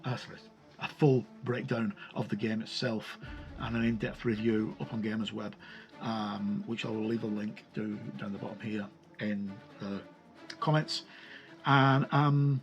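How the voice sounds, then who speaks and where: A man's voice: lowish voice, fairly even intonation, measured pace, faint music behind, low-quality recording. A man hosting a game review, talking casually and unscripted, rambling and hesitant as he pages through a book. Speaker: host of a tabletop game review channel.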